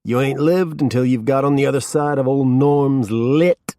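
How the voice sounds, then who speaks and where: A man's voice: medium pitch, moderate pace, dark tone, pitched above the performer's own voice, natural voice. A man voicing a stoned, drunk middle-aged slacker with a lazy stoner drawl that wanders between tones. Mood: stoned, taunting. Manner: slurring stoner drawl with shifting put-on tones.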